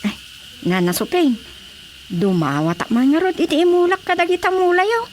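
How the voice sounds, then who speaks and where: Very shrill, swooping Ilocano village woman. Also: animated, normal speed, slight background noise. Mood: eager, playful. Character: excitable, eager village woman Sita, copying her neighbour. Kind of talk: radio drama comedy acting.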